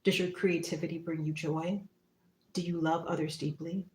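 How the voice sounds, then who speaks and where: A woman hosting a spiritual livestream, talking casually and reflectively in short phrases and gentle prompts with pauses. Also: deep voice, steady tone, slight background noise. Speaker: psychic medium and spiritual teacher hosting a livestream. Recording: roomy, echoing room sound.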